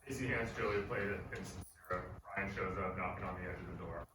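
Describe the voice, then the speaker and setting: A man's voice: sits low, quick pace. A man reading stage directions as scripted narration at a live table read. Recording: very echoey stage, distant mic, noisy.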